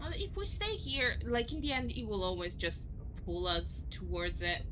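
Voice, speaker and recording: A young adult woman with an Italian accent, chatting casually and excitably with her viewers while streaming a game, swearing freely. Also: medium pitch, normal speed, noisy background. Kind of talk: casual live-stream chat over gameplay.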